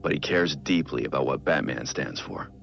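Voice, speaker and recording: A man acting an earnest, brooding vigilante in a video game audio log, speaking in a measured, reflective, confiding way with a gravelly, very deep voice. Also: fairly bright tone, heavily acted. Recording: dull game audio with music behind.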